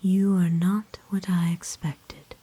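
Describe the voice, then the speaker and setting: Casting: actress as kind aristocratic female vampire for ASMR roleplay; lowish, unhurried, hushed whisper, formal and tenderly soothing. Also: little pitch movement, husky. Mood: gentle, formal. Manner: gentle, formal old-fashioned phrasing, soothing.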